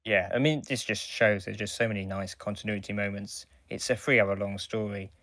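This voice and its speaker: A man's voice: lowish voice, steady tone, brisk, fairly bright tone, dry recording. A man co-hosting a podcast, talking casually as he reviews and gives his opinions. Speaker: podcast co-host.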